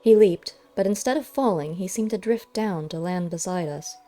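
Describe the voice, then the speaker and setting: A woman narrates an audiobook in a fairly low, very mellow voice. She reads scripted prose, with faint music behind her. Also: normal speed.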